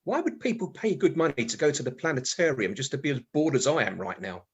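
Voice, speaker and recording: Husky, grumbling British husband. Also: male voice, medium pitch, sing-song, fast, full character voice. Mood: bored, grumbling. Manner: sardonic, grumbling, exclamatory. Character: grumbling, wry British husband, bored amateur stargazer. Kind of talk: radio play comedy acting, muttered monologue.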